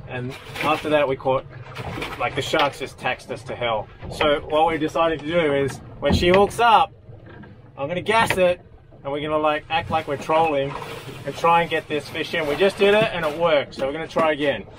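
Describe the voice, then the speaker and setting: A man chatting casually and calling out excitedly while fishing on an open boat at sea. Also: on the high side, normal speed. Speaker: fishing vlogger. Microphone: action camera mounted on boat. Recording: noisy boat engine, wind and water.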